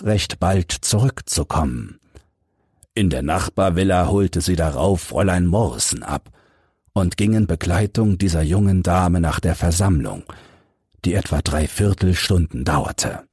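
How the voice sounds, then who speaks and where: Man, deep register, dry close sound, careful audiobook storytelling, husky. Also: a little mellow, no room sound. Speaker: audiobook narrator. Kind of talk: scripted narration of a detective story.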